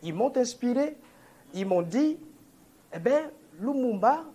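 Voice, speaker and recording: A man's voice: very high voice, expressive, slow pace, dark tone, husky. A man with a Congolese French accent making a statement at a press conference as a political movement leader, earnest and often correcting and repeating himself. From DR Congo, Kongo Central.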